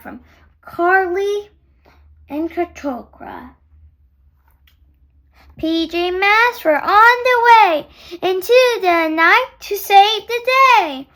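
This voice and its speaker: A young girl reading a children's story aloud in a halting, sing-song way, putting questions to young listeners. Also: extremely high pitch, pushed and bright.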